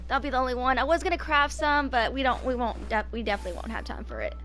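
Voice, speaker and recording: A woman's voice: high-pitched, lively intonation, fast talker, over music. A woman in her twenties chatting casually as she live-streams a game, thinking aloud in an informal way. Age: 20s. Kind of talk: casual live gameplay chat.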